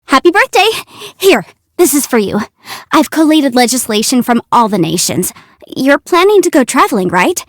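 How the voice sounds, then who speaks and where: A woman voicing a young, quick-witted half-immortal legal adviser in a video game, articulate, self-assured and playful. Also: sits high, big pitch swings, no echo, studio quality, exaggerated voice. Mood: cheerful. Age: young adult. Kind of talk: video game character voice lines.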